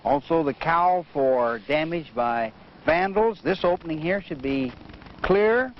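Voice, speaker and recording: A man giving methodical, measured instruction in the manner of a training film, as a flight safety instructor. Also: on the high side. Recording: muffled noisy vintage training film soundtrack.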